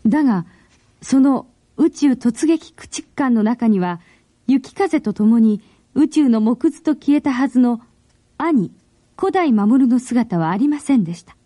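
A woman narrating a radio drama in a deliberate, expressive storytelling manner, as in a 1970s broadcast. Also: on the high side, no echo.

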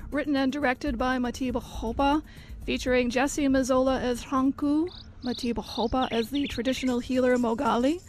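High-pitched woman radio announcer. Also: steady tone, normal speed, a little hiss or hum. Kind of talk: scripted announcing of credits.

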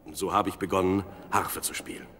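An actor playing a modest young shepherd musician, polite and earnest, in a Bible audio drama. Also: male voice, deep voice, steady tone, a little fast, a bit bright, slight background noise. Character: young shepherd harpist, modest, eager to please.

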